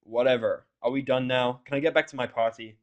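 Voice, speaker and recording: A man's voice: medium pitch, fairly even intonation, a little fast, dull audio, natural voice. Man acting a surly, blunt story hero. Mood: hostile, impatient. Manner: blunt, curt. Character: surly, impatient, foul-mouthed male story hero.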